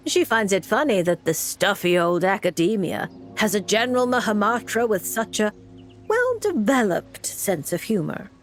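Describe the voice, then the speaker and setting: A woman plays a composed, blunt mage in a video game. Her mid-pitched voice is dry and matter-of-fact in wording but varies a lot in pitch, with soft music behind. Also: big pitch swings, normal speed, close to an everyday voice. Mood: musing. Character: cool, composed, blunt female mage fortune-teller.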